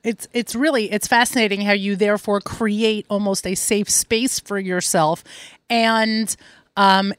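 A woman's voice: mid-range voice, no room sound. A woman interview host who asks long, thoughtful, winding questions with some fillers. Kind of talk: interview conversation.

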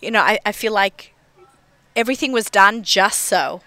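A woman's voice: medium pitch, animated, normal speed, pushed and bright, dry recording. A woman with an Australian accent interviewing someone in a hotel, chatty and gushing with lots of restarts. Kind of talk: enthusiastic interview chat.

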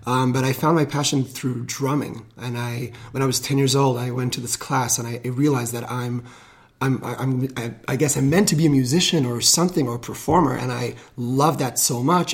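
An enthusiastic man in his thirties, from Israel, chatting as a radio guest. His pitch sits in a middle range and his delivery is eager and energetic. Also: normal speed. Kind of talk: casual radio interview.

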